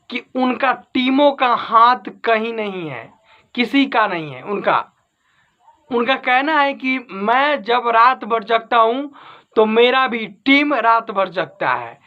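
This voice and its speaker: A man's voice: very high voice, sharp tone, highs cut off. A man giving informal entertainment news commentary as an online host, repeating and rephrasing his points for emphasis. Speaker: YouTube host.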